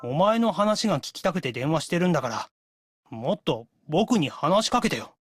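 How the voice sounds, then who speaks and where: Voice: medium pitch, sing-song, fast talker, close to an everyday voice. A performer voicing a cocky, demanding young anime guy in Japanese style, haughty, teasing and a little sulky.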